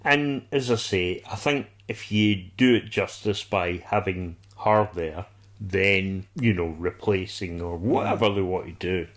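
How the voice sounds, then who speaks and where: Slow-talking, sweary man in casual discussion. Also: low-pitched. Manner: enthusiastic, sweary, rambling. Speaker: podcast co-host.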